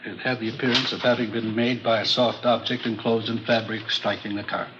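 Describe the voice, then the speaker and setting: An actor playing a matter-of-fact forensic expert witness in an old radio drama, testifying in a measured, unemotional way. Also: male voice, fairly low pitch, flat delivery, fairly bright tone. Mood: factual, measured. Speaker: forensic chemist on the witness stand. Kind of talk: 1950s radio drama acting. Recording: muffled, noisy old radio recording.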